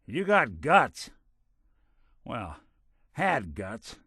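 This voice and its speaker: An actor playing a world-weary, wisecracking android detective in a video game, hardboiled but kind, with dry old-fashioned gumshoe delivery. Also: male voice, mid-range voice, huge pitch swings, extremely slow, pushed and bright, breathy edge, no room sound, exaggerated voice. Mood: stern warning. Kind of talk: game character voice lines.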